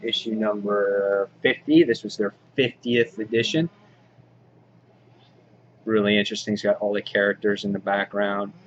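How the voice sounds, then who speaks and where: A man giving an informal, halting show-and-tell commentary as a hobby vlog host, in an understated way. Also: on the low side, little pitch movement. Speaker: vlog host showing a comic book collection. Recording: dull lo-fi audio, clear background noise.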